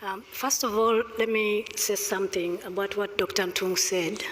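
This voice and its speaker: A speaker from Rwanda answering as a panelist in a discussion, talking in an emphatic, deliberate way and stressing points through repetition. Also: medium pitch, fairly even intonation, fairly bright tone. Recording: long reverb of a hall.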